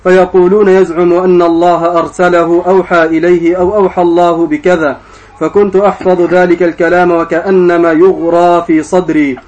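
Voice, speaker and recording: A man reading classical religious text aloud as a student reciter, formal, measured and drawn out. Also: high-pitched, flat delivery, darkish tone. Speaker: student reciter of hadith texts. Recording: dull, muffled sound.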